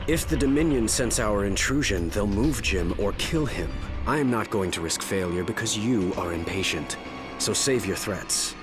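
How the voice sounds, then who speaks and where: A composed, principled young military leader, played by a man, in a sci-fi game. His fairly low voice is articulate and firm over background music. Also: animated, normal speed, natural voice.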